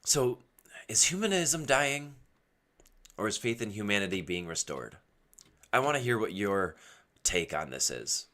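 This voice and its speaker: A man hosting a casual, opinionated solo podcast in an emphatic way, asking himself rhetorical questions, his voice strained and partly lost from yelling. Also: on the low side, sing-song, unhurried, long pauses. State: losing his voice. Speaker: podcast host.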